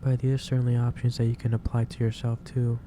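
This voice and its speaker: A man doing a soft ASMR roleplay as a gentle, caring office boss, speaking hesitantly with pauses mid-sentence as he gives advice. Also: mid-range voice, monotone. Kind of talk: soft ASMR roleplay, improvised monologue to the listener. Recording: clearly noisy background ambience.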